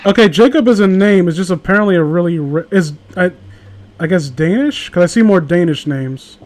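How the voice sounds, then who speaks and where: A man's voice: sits high, expressive, a little fast, darkish tone. A man reading a story aloud casually with a friend, joking, swearing and breaking off for sarcastic asides. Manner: sarcastic, profane, interrupts own reading with asides.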